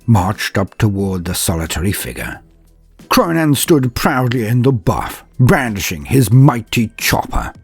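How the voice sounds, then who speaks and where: Male narrator, husky voice, lively mock-heroic delivery, faint music bed. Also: mid-range voice, no echo. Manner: mock-epic, grandiose storyteller drama. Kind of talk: comedic storytelling narration.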